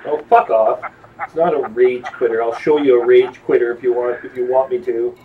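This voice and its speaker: A man streaming a video game, chatting casually with fellow players in a halting way, repeating words as he goes. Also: mid-range voice, steady tone, recorded from a distance. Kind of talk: casual gamer voice-chat banter. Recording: dull, noisy headset audio, off mic.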